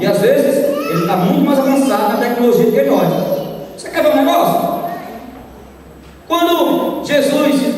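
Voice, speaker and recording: A man preaching a sermon, swinging from loud high outbursts to low asides. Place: large church hall. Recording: echoey hall from far off.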